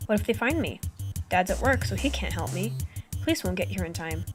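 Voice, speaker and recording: A woman plays a teenage boy telling his own story, anxious and sarcastic. The voice sits at a middle pitch in an amateur comic dub. Video game music plays clearly behind it. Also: lively intonation, a little fast, natural voice. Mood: tense, annoyed. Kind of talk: first-person narration in an amateur fan comic dub. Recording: amateur old-device recording, music behind.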